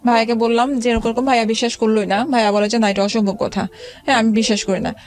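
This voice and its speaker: Bangladeshi female guest, middle-aged, personal storytelling at a quick clip, with broken-off words and restarts. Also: fairly high pitch, little pitch movement, darkish tone. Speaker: interview guest telling own life story. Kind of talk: personal storytelling in a radio interview.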